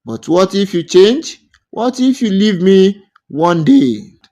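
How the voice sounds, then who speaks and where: A man's voice: sits high, big pitch swings, takes it slowly, warm tone, exaggerated voice. A man voicing a lonely, fearful young village woman in a folktale, hesitant and anxious about love. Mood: doubtful, anxious.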